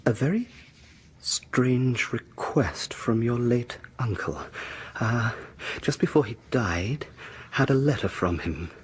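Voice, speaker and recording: An actor playing a sceptical, shaken British man in a radio horror drama, speaking haltingly and repeating himself. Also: mid-range voice, breathy edge, full character voice. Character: sceptical, shaken man, rational friend of the haunted man. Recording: noisy old radio drama broadcast.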